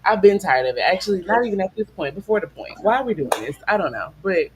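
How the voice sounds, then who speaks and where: A man hosting a casual podcast, relaxed and quick to laugh, hedging his opinions. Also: high voice, noisy background. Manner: relaxed, laughs easily, hedges. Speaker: podcast host. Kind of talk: casual chat.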